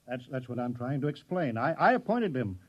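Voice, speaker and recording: A mild, flustered mayor, stammering apologies. His medium-pitched voice swings up and down widely. It comes through a dull vintage radio-drama recording. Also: sing-song, fairly quick, breathy edge. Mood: flustered, apologetic. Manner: hesitant, stammering. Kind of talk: 1950s radio drama acting.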